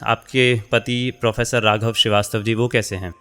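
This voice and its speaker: An actor playing a warm, talkative middle-aged senior editor in a radio drama, encouraging and conversational, mixing in English phrases. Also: male voice, lowish voice, little pitch movement, normal speed, clear, resonant tone. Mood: welcoming.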